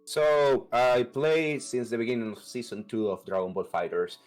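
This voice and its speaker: A young man from Venezuela with a Venezuelan Spanish accent, answering interview questions about his gaming in a halting way, with many fillers and restarts. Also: medium pitch, fairly even intonation, faint music behind, low-quality recording. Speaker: competitive fighting game player.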